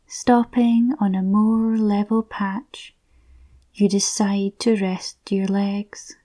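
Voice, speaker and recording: A woman softly narrating a scripted guided visualisation in a soothing, breathy, near-whispered voice. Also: mid-range voice, little pitch movement, slow.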